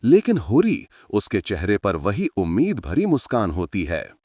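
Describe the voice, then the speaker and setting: A man's voice: lowish voice, wildly swooping pitch, measured pace, a little mellow, no room sound, studio quality. A man narrating a story in a dramatic scripted style, speaking to his listeners as friends.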